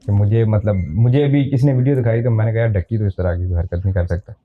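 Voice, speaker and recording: A man's voice: lowish voice, quick pace. A man chatting casually as a podcast guest, hesitant and often repeating his phrases. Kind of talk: casual chat. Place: podcast studio. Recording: slightly dull, band-limited podcast audio.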